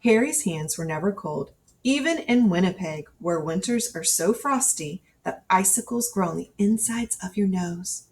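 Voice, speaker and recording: A woman reading a children's picture book aloud in an expressive storytelling style, acting out the dialogue as she goes. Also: mid-range voice, moderate pace. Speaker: storybook reader. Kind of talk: scripted children's storybook narration read aloud.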